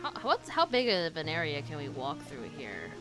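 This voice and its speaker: A woman streaming a game, reacting out loud in a casual, expressive chat style. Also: sits low, normal speed. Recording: game music behind the voice.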